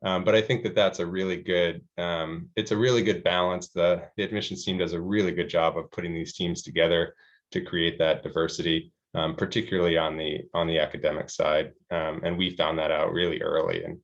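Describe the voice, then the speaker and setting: A man answering a question on an admissions panel in a casual, steady way, filling pauses with many ums. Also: deep voice, gravelly, lo-fi sound. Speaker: EMBA student panelist.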